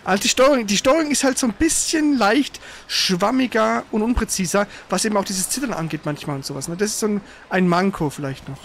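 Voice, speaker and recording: A man with a high-pitched voice gives casual commentary while playing a video game. He speaks colloquially and throws in exclamations at the game. There is some faint noise behind him. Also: brisk, few pauses. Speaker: gamer, let's play host.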